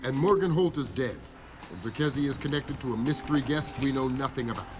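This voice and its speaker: Male intelligence boss played by a male actor, game cutscene: mid-pitched, terse, commanding, long reverb, music behind. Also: brisk, close to an everyday voice. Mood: serious. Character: businesslike American spy chief, commanding man.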